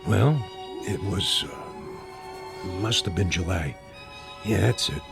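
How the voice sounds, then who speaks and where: A gravelly old ex-ballplayer. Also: male voice, lowish voice, wildly swooping pitch, slow, over music, heavily acted. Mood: reminiscing. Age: older. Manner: rambling storyteller. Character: old man, a talkative former ballplayer. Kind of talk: radio drama acting.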